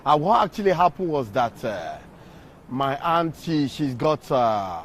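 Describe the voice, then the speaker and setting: A man with a slightly high voice answers a street interviewer, pausing often and filling gaps with "um" as he explains. He speaks into a handheld mic outdoors. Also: sing-song. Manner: hesitant, many pauses and fillers. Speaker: interview guest. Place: outdoors on a city street outside a court. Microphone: handheld mic from the streamer.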